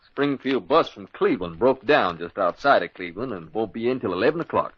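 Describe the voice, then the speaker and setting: A lively husky small-town deputy. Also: male voice, fairly low pitch, fairly quick, dry recording. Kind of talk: 1940s radio acting. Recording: muffled old radio broadcast.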